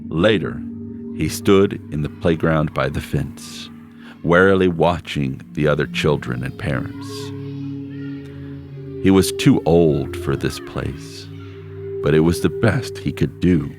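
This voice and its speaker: Very deep-voiced male story narrator. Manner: measured, unhurried storytelling with slowed phrases. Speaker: story reader. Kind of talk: scripted literary narration. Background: background score music.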